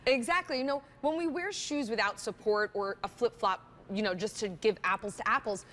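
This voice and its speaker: A woman representing a brand, giving a conversational, emphatic product pitch and readily agreeing with her co-presenter. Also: a little fast, fairly bright tone.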